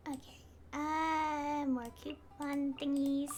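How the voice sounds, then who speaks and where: A woman chatting casually on a live game stream, excitable and rambling to her viewers. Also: high-pitched, takes it slowly.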